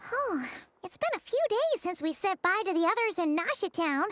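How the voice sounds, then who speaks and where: A woman voicing a tiny, excitable fairy companion in a video game, squeaky, childlike and expressive. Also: moderate pace, exaggerated voice. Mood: musing, grumbling. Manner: exclamatory, expressive. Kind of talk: scripted video game voice acting.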